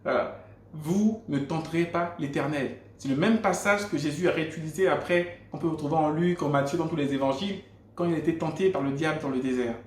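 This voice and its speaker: A man's voice: a little high, a little fast, a little mellow, a little hiss or hum. Gabonese man telling a testimony, roomy sound. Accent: Gabonese French. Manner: earnest storytelling with frequent restarts. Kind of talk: personal faith testimony. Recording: roomy echo.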